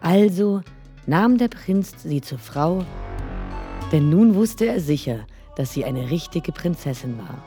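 A woman reading a fairy tale aloud as a bedtime story in a gentle, expressive way. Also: low-pitched, slow pace, faint music behind.

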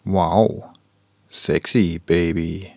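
A man's voice: sits low, fairly even intonation, very slow, darkish tone, no echo, heavily acted. A man playing a keen social media commenter in a radio collage of read comments. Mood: admiring.

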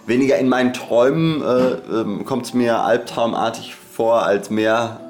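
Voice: mid-range voice, unhurried, a little mellow. Androgynous voice cohosting a podcast, dry playful humor, casual chat, faint music under it. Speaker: podcast co-host.

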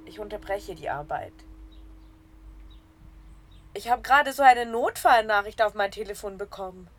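A woman's voice: medium pitch, expressive, moderate pace, dry recording. A woman narrating a scripted audio story in the present tense, reading out the dialogue herself.

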